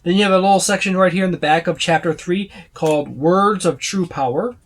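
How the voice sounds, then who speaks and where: A man's voice: high-pitched, a little fast. A man giving a casual, unscripted walkthrough as a reviewer, rambling and listing items as he browses. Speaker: tabletop game book reviewer.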